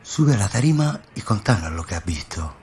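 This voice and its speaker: A man's voice: on the low side, very animated, a little fast, darkish tone, pitched above the performer's own voice. A man voicing a stern, probing school inspector in a radio play, questioning firmly and commandingly over a noisy ambient background. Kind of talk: dramatic radio play storytelling.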